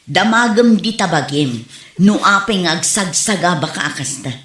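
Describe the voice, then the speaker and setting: A woman's voice: lowish voice, lively intonation, moderate pace, fairly bright tone. Woman playing a reproving village mother, echoey room. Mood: concerned advice. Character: plain-spoken older village woman, concerned and reproving. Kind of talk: comedy drama acting.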